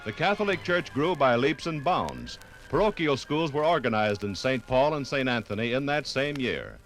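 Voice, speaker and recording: A man's voice: mid-range voice, very animated, normal speed, fairly bright tone, low-quality recording. American radio narrator man, sweeping intonation. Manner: grand, formal storytelling. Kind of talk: 1950s radio documentary scripted narration. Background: faint music.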